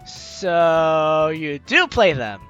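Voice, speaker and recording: A man's voice: a little high, sing-song, extremely slow, lo-fi sound. A man doing casual let's play commentary, reading game text aloud and adding teasing, sarcastic asides. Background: soft game music.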